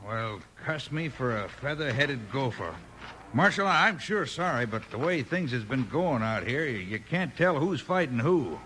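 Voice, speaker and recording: An actor playing a jumpy, suspicious buffalo hunter in a 1950s radio western, with a rustic frontier drawl and slang. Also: male voice, mid-range voice, lively intonation, measured pace, bright, forceful tone. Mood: apologetic, worried. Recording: faintly noisy 1950s radio recording.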